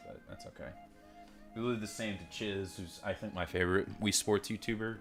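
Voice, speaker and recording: A man's voice: steady tone, brisk, fairly bright tone, faint music behind. A man reviewing toys in a casual, rambling, self-deprecating way, swearing offhand. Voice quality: deep voice. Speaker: toy reviewer. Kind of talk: casual unboxing chat. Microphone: desk microphone and phone mic.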